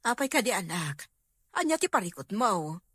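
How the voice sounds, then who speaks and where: A woman's voice: medium pitch, big pitch swings, moderate pace, fairly bright tone, slightly rough, no room sound. A woman playing an older, concerned Filipino mother in a drama. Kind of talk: comedy-drama acting.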